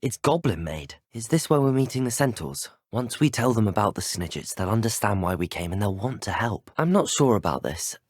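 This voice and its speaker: A man's voice: on the low side, sing-song, a little fast, few pauses, dry recording, studio quality. An actor playing an earnest, courteous young male student wizard in a video game, speaking formally with a British accent. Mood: curious. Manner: formal, well-spoken, courteous. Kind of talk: scripted video game voice lines.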